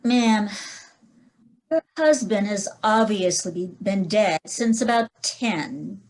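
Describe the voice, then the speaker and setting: A woman playing a brisk, dry-witted male police inspector in a 1940s-style radio mystery. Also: medium pitch, animated, rough recording. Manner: brisk, dry, matter-of-fact.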